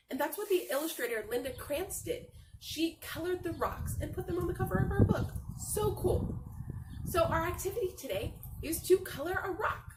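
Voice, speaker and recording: A woman reading a picture book aloud like a teacher, talking to young children in a simple, encouraging, expressive way with drawn-out phrases. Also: sits high. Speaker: read-aloud storyteller and teacher. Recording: roomy echo, distant mic, faint noise.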